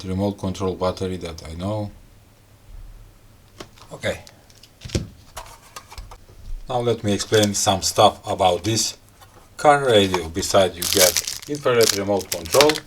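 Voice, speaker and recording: Slow, low-voiced man, casual gadget reviewer. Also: noisy background. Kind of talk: casual unboxing and product explanation.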